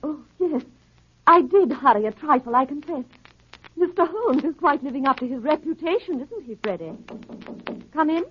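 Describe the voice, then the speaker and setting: A woman's voice: high voice, lively intonation, normal speed. An actress playing a falsely charming female schemer in 1930s radio style, with gushing, arch society manners. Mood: arch, gracious. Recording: muffled noisy 1938 radio recording.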